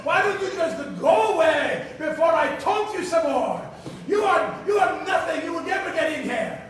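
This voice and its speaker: Very high-pitched shouting taunting guard. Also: male voice, animated, normal speed, a faint crowd. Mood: gloating, taunting. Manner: loud, shouting, mocking. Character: silly taunting wall guard of Jericho, mocking and cocky. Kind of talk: puppet show comedy for children. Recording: echoey large hall, far from mic, audience.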